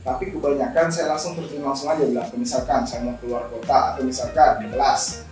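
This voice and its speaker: A man's voice: mid-range voice, steady tone, a little fast, darkish tone. A young man, explaining things conversationally as an interview guest and often repeating his phrases. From Indonesia. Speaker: guest, scholarship alumnus. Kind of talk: informal talk show interview, storytelling. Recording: very echoey room, distant mic, music behind.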